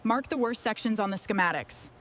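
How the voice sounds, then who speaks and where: A woman leading a restoration crew, giving brisk, clipped instructions. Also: mid-range voice, animated, heavy background noise. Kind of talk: scripted work commands.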